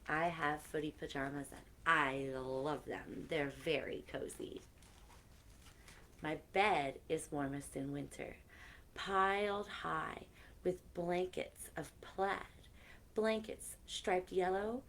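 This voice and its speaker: A woman with a slightly low voice reads picture books aloud for young children. She takes her time, speaking expressively and chatting between passages as a story time host. The live-stream recording carries some background noise. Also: fairly even intonation, takes it slowly, fairly bright tone.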